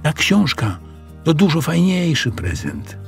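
Man doing an eager, high-pitched little girl. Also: slow, vocal fry, faint music behind, full character voice. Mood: sly, eager. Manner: excitable, emphatic, confident. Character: clever, eager little girl helping a dragon.

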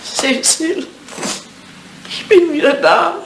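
An older woman playing a sharp-tongued, teasing English widow of high standing in a German radio play, with a dry, probing manner. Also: slow, background noise. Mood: soft resolve.

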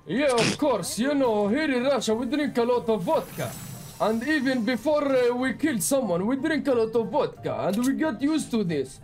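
A swaggering comrade who brags about vodka in mock-broken English, as a man in a game chat puts him on. The pitch swings widely, and the tone is bossy and theatrical. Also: huge pitch swings, fairly quick, hardly any pauses, soft background music, exaggerated voice. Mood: insistent. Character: boastful vodka-loving male Russian comrade. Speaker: gamer in voice chat.

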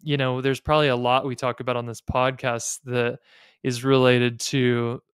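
An American man talks as a podcast host, explaining ideas in a thoughtful, measured way. His voice is smooth and mid-pitched, and it sits close on a studio mic with very little room sound. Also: flat delivery, no room sound. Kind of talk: explanatory podcast talk on personal finance and faith. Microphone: close studio mic on a boom arm.

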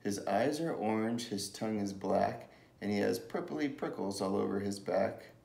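A man's voice: fairly low pitch, normal speed, creaky, a little mellow. A man voicing a small, clever brown mouse who is polite, cheeky and boastful in a storybook reading. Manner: polite, cheeky, self-assured. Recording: echoey room with faint noise.

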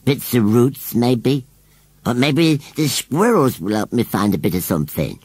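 A man voicing a shy, wheezy male scarecrow who speaks slowly and mournfully. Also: mid-range voice, big pitch swings, darkish tone, breathy edge, dry recording, full character voice. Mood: forlorn.

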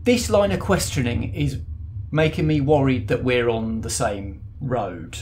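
A man playing a shrewd, wary male spirit agent, explaining things in a measured, matter-of-fact way during a tabletop game. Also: mid-range voice, darkish tone, a little hiss or hum, close to an everyday voice. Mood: uneasy.

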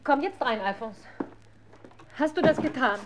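A woman's voice: sits high, expressive, measured pace, background noise. A woman playing a worried, reproachful mother of a naughty boy in a children's radio play.

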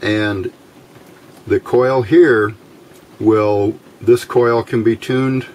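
A middle-aged man giving an informal hands-on tutorial in halting short phrases, pausing often as he explains. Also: on the low side. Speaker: electronics hobbyist demonstrating a homemade circuit. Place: indoors at a workbench. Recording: steady clear background noise.

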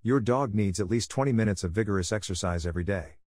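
A man's voice: lowish voice, fast talker, darkish tone, dry recording, studio quality. A man reading scripted practice sentences for an English speaking test. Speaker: test prompt reader.

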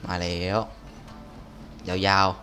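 A man with a bright, forceful voice streams a game. He talks casually and bluntly, swearing freely as he comments on play. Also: very slow, soft background music. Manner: blunt, sweary, stumbles when reading. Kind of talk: casual gameplay commentary, reading story dialogue aloud.

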